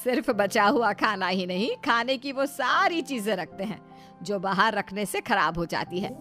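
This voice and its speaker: A woman's voice: medium pitch, moderate pace, faint music behind, lightly acted. A woman voicing an exasperated young husband in a cartoon story, fussy and incredulous but indulgent. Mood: instructing. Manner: incredulous, fussy, explaining patiently when calm. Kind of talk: Hindi cartoon storybook dialogue.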